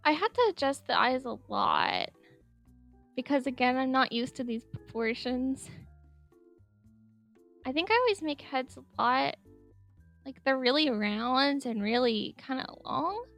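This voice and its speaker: High-pitched giggly young woman. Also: animated, unhurried, long pauses. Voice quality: speaks higher than her normal voice on mic. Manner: chatty, giggly, rambling with many "like"s. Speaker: artist narrating a speedpaint. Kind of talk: casual voice-over commentary. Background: soft background music.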